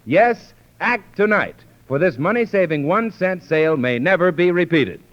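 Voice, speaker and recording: High-voiced male 1930s radio pitchman. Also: animated, normal speed. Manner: polished sales pitch. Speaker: radio announcer. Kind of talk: 1930s radio commercial read. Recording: dull 1930s radio recording.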